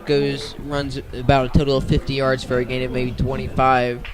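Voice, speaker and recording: A man's voice: mid-range voice, monotone, normal speed. A man doing live radio play-by-play of a football game outdoors, in short clipped phrases. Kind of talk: live radio sports commentary.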